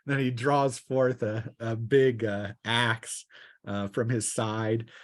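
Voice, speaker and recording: A man running a tabletop game as game master, improvising narration in a halting, piece-by-piece way. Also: mid-range voice, flat delivery, no echo, clean studio sound. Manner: halting, piecing descriptions together aloud.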